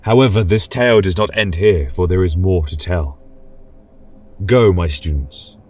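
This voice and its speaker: Audio fantasy narrator: man as male magic lecturer, very low, slow, solemn and dramatic. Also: darkish tone, distant mic. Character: male college lecturer of magic recounting dark history. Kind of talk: dramatic scripted storytelling.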